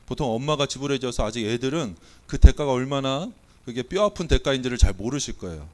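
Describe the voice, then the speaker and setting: A Korean pastor delivers a sermon in a church with his voice weakened by a cold. He speaks at an ordinary pitch and keeps things playful, throwing quiz questions to the congregation and calling out their bids. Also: animated, quick pace, warm tone. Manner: playful, quizzing and auctioning with the congregation. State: has a cold, voice not coming out well. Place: church sanctuary.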